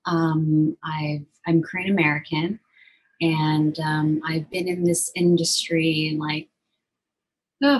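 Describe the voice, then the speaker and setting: A woman's voice: low voice, rough recording. A woman from Los Angeles introducing herself as a panel guest, hesitant and full of fillers.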